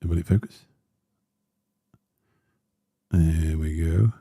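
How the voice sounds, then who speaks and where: A very low, gravelly man chats into a close studio mic in a small home room. His tone is dark and mellow, and he rambles along with plenty of ums and grumbling asides. The sound is dry and close. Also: extremely slow, dry recording. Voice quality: deep voice. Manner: rambling and chatty with many fillers, grumbling asides. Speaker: livestream host. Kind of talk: casual livestream chat while unboxing gear. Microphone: dynamic studio mic close up.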